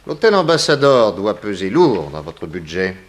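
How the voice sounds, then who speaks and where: An actor playing a shrewd, insistent police investigator in a 1960s French radio drama, with pointed, authoritative questioning. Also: male voice, on the low side, sing-song, normal speed. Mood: probing.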